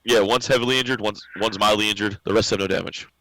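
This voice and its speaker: A man acting as game master in a tabletop role-playing game, relaxed and joking, laughing often as he calls out turns and damage. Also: lowish voice, fast talker, a little hiss or hum, rough recording.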